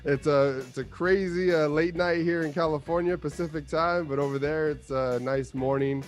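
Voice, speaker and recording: A man's voice: a little high, steady tone, moderate pace, faint music behind. A man hosting an interview show over a video call, talking in an eager, loose way with lots of fillers. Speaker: show host.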